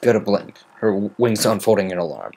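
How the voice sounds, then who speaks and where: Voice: sits low, lively intonation, fairly quick, darkish tone. A narrator giving a semi-dramatic reading of a fan fiction story.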